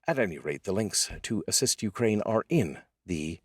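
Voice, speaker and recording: Male poetry host and voice actor, very low husky register, wry and deliberate, recorded close and dry. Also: sing-song, no room sound. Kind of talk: informal spoken intro and poetry reading.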